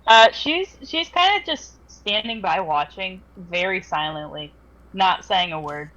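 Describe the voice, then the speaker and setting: A woman calmly narrates what her character does in a tabletop game, dry and matter-of-fact. Her mic sounds dull and muffled. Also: mid-range voice, measured pace. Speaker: player. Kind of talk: describing her character's actions.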